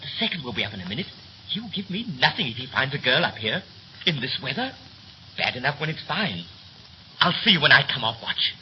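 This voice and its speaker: An actor playing a brisk, bossy young ship's officer in a 1930s radio play, with a clipped, commanding, theatrical manner. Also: male voice, mid-range voice, sing-song, natural voice. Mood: fond, hurried. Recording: muffled, noisy 1930s radio recording.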